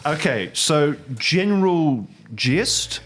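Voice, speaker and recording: A man playing a harried, officious agent of a goddess company in a tabletop game, explaining things haltingly. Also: fairly high pitch, very animated, fairly bright tone, pitched above the performer's own voice, full character voice. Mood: officious, explanatory.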